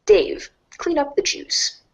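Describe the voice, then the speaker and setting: A woman reading narration aloud in an animated storybook style for a reader's theater. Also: slow, low-quality recording.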